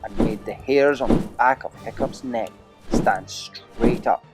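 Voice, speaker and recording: A man's voice: mid-range voice, a little slow, loud music behind the voice. A man narrating an adventure audiobook as a dramatic, theatrical storyteller with emphatic delivery.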